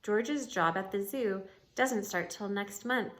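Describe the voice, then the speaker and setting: A woman reading a storybook aloud like a teacher, expressive and adding comments for young listeners. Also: medium pitch, slow pace. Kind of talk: read-aloud storybook narration with asides. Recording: roomy, echoing room sound.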